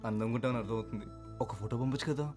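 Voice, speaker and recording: A man's voice: fairly low pitch, fairly even intonation, racing, close to an everyday voice. An actor playing an easygoing young city man in a film, coaxing and reassuring. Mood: teasing, coaxing. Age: young adult. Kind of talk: naturalistic film acting. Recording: music bed under film dialogue.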